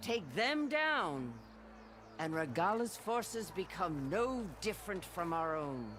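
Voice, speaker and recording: Higher-voiced, resolute military chaplain. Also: male voice, sing-song, slow pace, background noise, exaggerated voice. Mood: commanding. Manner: firm, authoritative, formal. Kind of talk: dramatic video game acting.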